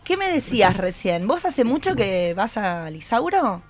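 A woman's voice: mid-range voice, very animated, unhurried, soft background music. An Argentine woman hosting a live conversational radio interview, echoing back answers in an encouraging way. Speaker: radio host. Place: radio studio with a group. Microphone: studio microphone.

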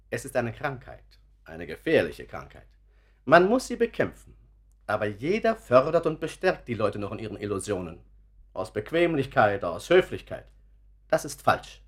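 Animated, blunt, self-assured doctor. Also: male voice, medium pitch. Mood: coolly insistent. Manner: measured, matter-of-fact, self-assured. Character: polite, blunt doctor, crusader against illusions. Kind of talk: German radio drama acting.

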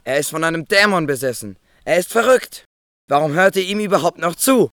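A man playing an angry, loud accuser from an ancient crowd in a German Bible audio drama. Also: on the high side, expressive, brisk. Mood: scornful outrage.

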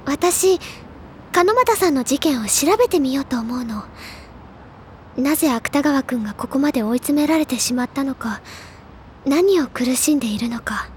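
High-voiced, earnest older schoolgirl. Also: animated, normal speed, slight background noise. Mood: serious, resolute. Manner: gentle but insistent. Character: earnest, caring, determined high school senior girl. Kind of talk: audio drama dialogue.

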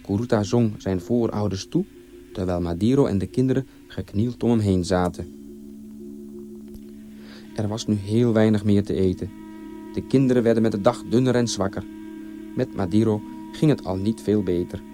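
A man's voice: fairly low pitch, moderate pace, long pauses, over music. A man telling a story as a narrator, reading scripted narration.

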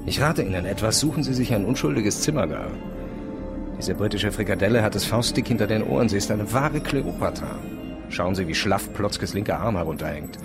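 Sardonic, animated low-voiced gentleman. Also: fairly quick. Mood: amused, mocking. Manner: theatrical, mocking, florid. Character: sardonic, world-weary bohemian gentleman passenger, gossipy. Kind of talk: radio drama acting. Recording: music behind the voice.

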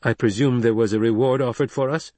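A man reading a calm noblewoman. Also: mid-range voice, no room sound, lightly acted. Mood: composed. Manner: calm and measured. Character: poised, composed noble woman.

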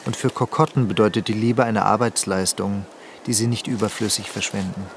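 An actor playing a worldly, reserved journalist with a measured, suave manner in a radio drama. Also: male voice, lowish voice, steady tone, a little hiss or hum, close to an everyday voice. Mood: reserved, confiding. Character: worldly male Greek journalist, courting, reserved.

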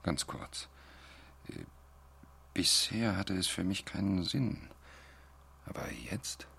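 An actor playing a thoughtful, deliberate male investigator in a science fiction radio drama. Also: low voice, big pitch swings, creaky, noisy background, exaggerated voice. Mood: pensive. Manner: pensive, deliberate. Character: thoughtful, speculating male investigator musing on alien mysteries.

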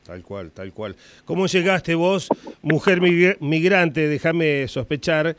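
A man's voice: on the high side, lively intonation, takes it slowly, fairly bright tone, slight background noise. A man hosting an interview, asking long, rambling questions with frequent fillers. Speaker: radio host, interviewer. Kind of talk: radio interview.

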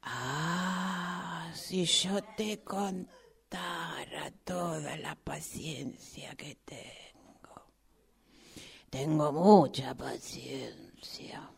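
Woman doing a slow, creaky, lonely old tortoise. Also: low-pitched, heavily acted. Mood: confiding. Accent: Rioplatense Spanish. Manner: halting, plaintive, rambling, breathy drops into near-whisper. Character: lonely old male tortoise abandoned at a closed zoo. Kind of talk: improvised radio-theatre character monologue.

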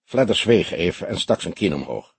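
A man narrating a crime novel as an audiobook in an expressive storytelling style. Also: sits low, normal speed, breathy edge, no echo.

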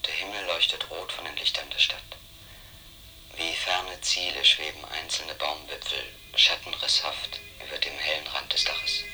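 A man's voice: extremely low pitch, wildly swooping pitch, normal speed, pushed and bright, faint music behind, heavily acted, low-quality recording. An actor playing a weary, brooding man giving a literary monologue in a radio drama. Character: brooding, weary male narrator confessing his sense of futility.

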